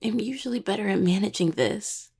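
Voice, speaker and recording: A woman's voice: medium pitch, a little fast, gravelly, darkish tone, husky. A woman acting in an audio roleplay as an anxious young student necromancer and devoted partner, stammering and earnest. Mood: tender, anxious.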